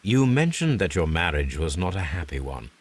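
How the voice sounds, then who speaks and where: A man voicing a composed, probing Victorian gentleman detective with an English accent and a formal, courteous, measured delivery in a video game. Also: sits low, very animated, slightly rough, no echo, full character voice. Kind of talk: video game cutscene acting.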